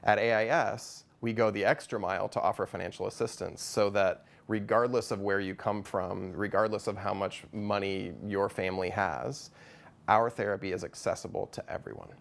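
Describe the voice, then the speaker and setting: A man speaking as a stuttering specialist in a measured promotional interview, calm and explanatory. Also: lowish voice, gravelly.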